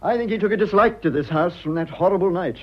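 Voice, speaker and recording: Prim English gentleman, upper-class accent, highish husky voice, animated, fussy, muffled radio drama recording. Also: fast talker. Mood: indignant, rueful. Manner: prim, fussy, flustered, earnest. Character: stiff, fussy upper-class English son, anxiously proper. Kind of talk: stage comedy of manners radio acting.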